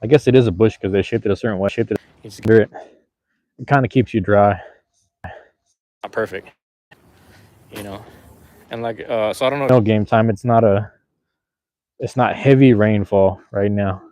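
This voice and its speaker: Laid-back man with a low, dark voice. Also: normal speed, pauses often, dry recording. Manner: laid-back, slangy, repeats himself. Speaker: livestreamer chatting with viewers. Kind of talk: casual rambling live chat. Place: outdoors in light rain. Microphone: phone camera.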